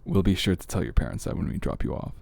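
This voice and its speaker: Man acting as low, gravelly teasing big brother. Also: lively intonation, a little mellow, exaggerated voice. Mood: flirtatious, reassuring.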